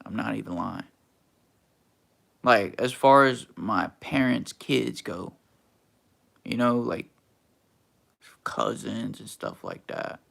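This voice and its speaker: A man's voice: fairly low pitch, very animated, slow, creaky, pauses often, a bit bright. A young man from Texas, hosting a casual livestream and answering chat in a laid-back, slangy, teasing way. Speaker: livestream host reading chat.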